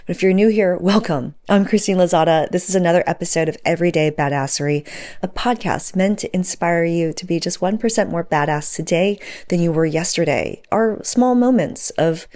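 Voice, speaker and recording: A woman's voice: lowish voice, brisk, a little mellow. A woman hosting a podcast and interviewing a guest in a conversational style.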